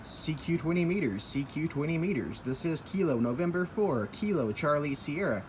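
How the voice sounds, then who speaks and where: A man's voice: fairly high pitch, lively intonation, normal speed, noisy background. A man working as an amateur radio operator, chatting casually and giving call signs outdoors on a rooftop. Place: outdoors on an apartment building roof.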